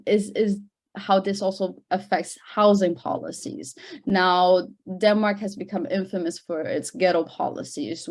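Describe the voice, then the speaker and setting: A woman with a slightly low voice gives a semi-formal research presentation. She explains point by point, often repeating a word as she searches for the next one, and leans on key words for emphasis. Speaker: panel speaker, researcher presenting report findings. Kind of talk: semi-formal spoken presentation at an online discussion.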